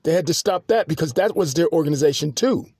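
A man hosting a podcast, telling a long personal story in a halting, rambling monologue full of restarts and fillers. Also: a little high, animated, a little mellow, slightly rough, no room sound, clean studio sound. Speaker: podcast host.

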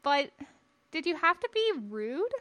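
Woman doing a young jedi apprentice boy, high voice, hesitant and earnest, questioning, storybook style. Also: sing-song, measured pace, a bit bright, heavily acted. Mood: worried, questioning.

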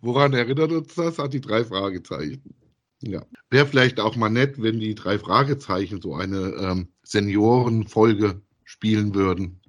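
A man's voice: fairly low pitch, animated, moderate pace. A man chatting casually as he co-hosts a review of audio dramas.

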